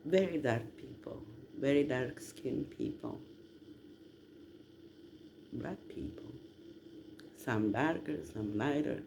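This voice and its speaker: A man from southern Italy, rambling casually to listeners in a halting, emphatic way. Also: medium pitch, expressive, gravelly. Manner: halting, drawn-out delivery with emphatic questions. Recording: noticeable background noise.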